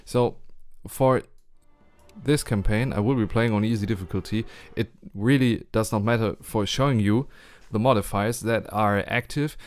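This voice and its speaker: A man's voice: on the low side, normal speed, faint music behind. A man with a German accent, not a native English speaker, narrating a strategy game tutorial carefully, with frequent ums and restarts.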